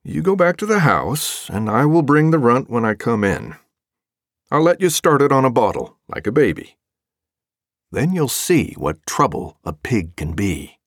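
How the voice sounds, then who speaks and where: A man's voice: medium pitch, big pitch swings, measured pace, no room sound. An actor playing a kindly farmer father in an audiobook, with a dry, mock-solemn way of talking. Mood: firm. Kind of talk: audiobook full-cast character acting.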